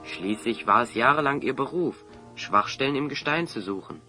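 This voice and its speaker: A man's voice: mid-range voice, expressive, normal speed, pushed and bright, soft background music. A man acting a clever, confident hero in an audio drama, articulate and assertive as he reasons things out. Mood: confident, accusing. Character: clever, confident male hero explaining a scheme.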